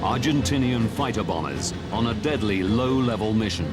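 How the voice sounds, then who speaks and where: A man's voice: lowish voice, lively intonation, moderate pace. A man with a British accent delivering dramatic scripted documentary narration. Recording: voice over very loud dramatic music. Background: loud dramatic score.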